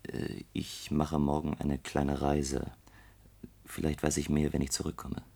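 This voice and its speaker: An actor playing a thoughtful, guarded corporate colleague in a science-fiction radio play, speaking in a measured, hesitant way. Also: male voice, very deep voice, monotone, vocal fry, warm tone, breathy edge, exaggerated voice. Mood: guarded, pensive.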